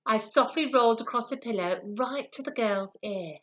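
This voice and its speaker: A woman voicing a small, earnest pea that tells its own story in an expressive, confiding way for children. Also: on the high side, moderate pace, natural voice. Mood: determined. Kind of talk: first-person children's story reading.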